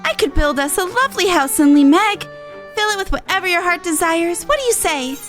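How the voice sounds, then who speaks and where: Mischievous game pixie: very high, swooping, playful delivery. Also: female voice, expressive, fairly quick, fairly bright tone, soft background music. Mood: coaxing. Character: mischievous, teasing little pixie.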